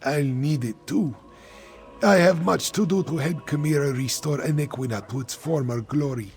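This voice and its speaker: An actor playing a weary, grateful wounded soldier in a fantasy game, speaking in an earnest, measured way. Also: male voice, on the high side, darkish tone, faint music behind, lightly acted.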